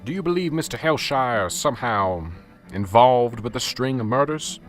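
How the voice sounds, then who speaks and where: A man's voice: medium pitch, expressive, moderate pace, faint music behind, lightly acted. A man playing a fed-up, sarcastic police sergeant. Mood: impatient. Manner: curt, exasperated, sarcastic. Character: stressed, blunt male police sergeant, short-tempered. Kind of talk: amateur radio drama.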